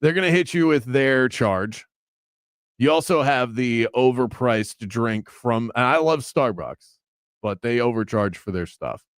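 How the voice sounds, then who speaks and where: A man's voice: medium pitch, expressive, unhurried, no room sound. A man hosting a sports radio show from the studio, chatting casually and teasing his producer. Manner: chatty, teasing, thinks aloud. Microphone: studio mic.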